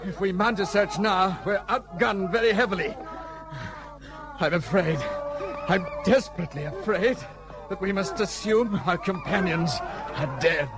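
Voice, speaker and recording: An actor playing an anguished, defensive British army lieutenant in a period radio drama, formal and emphatic, on a noisy recording. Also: male voice, sits high, unhurried, loud music behind the voice. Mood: desperate, commanding.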